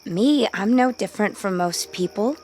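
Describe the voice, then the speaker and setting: An actress playing a gentle, candid young woman in a radio drama, speaking softly. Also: mid-range voice, expressive, normal speed, close to an everyday voice. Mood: puzzled.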